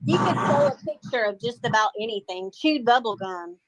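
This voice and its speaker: A woman co-hosting a casual stream, making wry, drawn-out asides. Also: fairly high pitch, animated. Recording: noisy stream audio.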